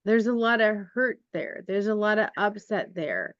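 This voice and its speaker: A woman hosting a podcast, interviewing a guest with gentle, measured questions. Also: mid-range voice, no echo, dull audio. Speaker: podcast host.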